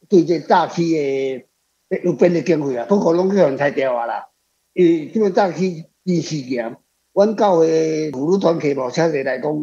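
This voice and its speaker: Husky-voiced Taiwanese man talking informally. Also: fairly high pitch, warm tone, muffled recording. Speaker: author guest answering questions. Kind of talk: unscripted conversational talk.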